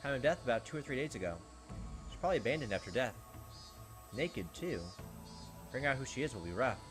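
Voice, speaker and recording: A man's voice: medium pitch, lively intonation, fast talker, faint music behind, lightly acted. A man voicing a blunt, callous police detective in a visual novel read-aloud. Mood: businesslike.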